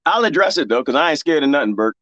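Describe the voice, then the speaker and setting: Lively joking man with a Texas accent. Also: on the high side, dry recording, dull audio. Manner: animated and joking, laughs often, talks straight to his chat. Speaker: livestream host reading viewer comments. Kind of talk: casual chat with viewers. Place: garden, planting cuttings.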